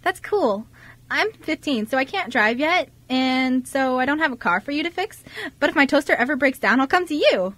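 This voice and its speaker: A woman's voice: sits high, animated, moderate pace, a bit bright. A woman playing a chatty, eager fifteen-year-old girl in an amateur radio drama, friendly and giggly. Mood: bubbly, chatty.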